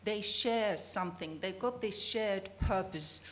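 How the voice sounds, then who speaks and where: A woman's voice: mid-range voice, a little slow, fairly bright tone. Finnish woman co-presenting at a conference. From Finland. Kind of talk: academic presentation. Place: conference hall.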